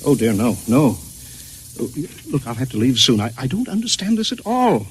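A man's voice: mid-range voice, big pitch swings, moderate pace, breathy edge. An actor playing a pompous, flustered art critic, prissy and stammering, repeating his words in old-time radio comedy style. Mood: distressed, pleading. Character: fussy, flustered, pompous art critic, polite but appalled.

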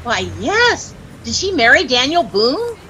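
An actress with an American accent playing a chirpy, easily dazzled young housewife who gushes and lightly scolds in a 1940s radio comedy. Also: female voice, high voice, very animated, unhurried, sharp tone, exaggerated voice. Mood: curious, teasing. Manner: gushing, breathlessly admiring, lightly scolding. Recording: noisy old-time radio recording.

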